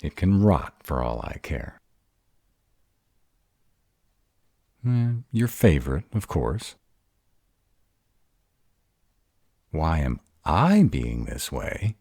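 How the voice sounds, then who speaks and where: A man's voice: extremely low pitch, huge pitch swings, unhurried, vocal fry, darkish tone, husky, dry recording, clean studio sound, heavily acted. A man playing a grumpy, insecure were-dragon in a romantic roleplay audio drama, hesitant and defensive as he courts his mate. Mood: defensive, sulky. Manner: hesitant, defensive, self-doubting.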